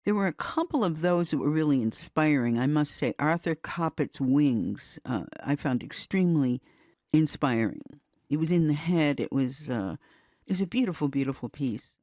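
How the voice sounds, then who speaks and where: A woman's voice: low voice, expressive, normal speed, darkish tone, dry recording. A woman from the United States reflecting in a documentary interview, thoughtful and emphatic. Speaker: interviewee, radio drama producer. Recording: dry, faint music behind.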